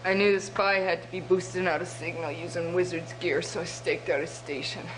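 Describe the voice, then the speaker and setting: An actress playing a tough, vengeful resistance soldier in a video game, gritty, informal and emotional. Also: female voice, on the low side, fairly even intonation, fairly quick, natural voice. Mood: bitter, shaken. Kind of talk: scripted video game cutscene acting. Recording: clear background noise with hum.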